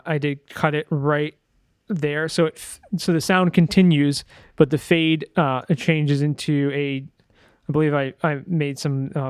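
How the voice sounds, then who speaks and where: Higher-voiced man, smooth and clear, casual tutorial. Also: no room sound, studio quality. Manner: informal, thinking aloud, self-correcting. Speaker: sound designer presenting a tutorial. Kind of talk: casual screen-share software walkthrough.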